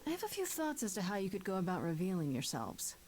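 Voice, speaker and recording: A woman's voice: mid-range voice, wildly swooping pitch, quick pace, darkish tone, soft background music. Game heroine: young female muse, mid register, frank, inquisitive, casually wry. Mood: thoughtful. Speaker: voice actor. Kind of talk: casual, natural game dialogue.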